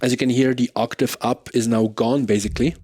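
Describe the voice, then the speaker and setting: A man's voice: level tone, brisk. A man giving a casual, off-the-cuff gear demo, thinking aloud in an informal way. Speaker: bass gear demonstrator. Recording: loud bass guitar playing alongside. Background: electric bass through effects pedals.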